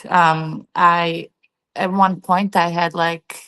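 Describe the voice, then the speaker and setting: A woman's voice: fairly low pitch, level tone, takes it slowly, a bit bright, clean tone, rough recording. A woman in her thirties from Bosnia and Herzegovina, a guest telling her story in a chatty interview, full of "like" and "you know". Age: 30s. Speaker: guest, tennis player and coach. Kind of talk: casual interview chat.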